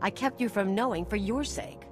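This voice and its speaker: A woman's voice: mid-range voice, moderate pace, over music, natural voice. An actress playing a cynical, tough, self-serving mercenary woman in a video game, with a blunt, wry, streetwise delivery. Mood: defiant.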